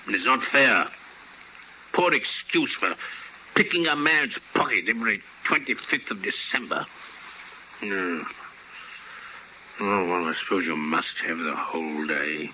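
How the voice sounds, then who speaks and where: A man acting a miserly, curt old man in a 1950s radio drama, with gruff, muttering delivery. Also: low-pitched, measured pace, pauses often, pushed and bright, exaggerated voice. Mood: grudging, grumbling. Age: older. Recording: muffled, noisy old radio broadcast.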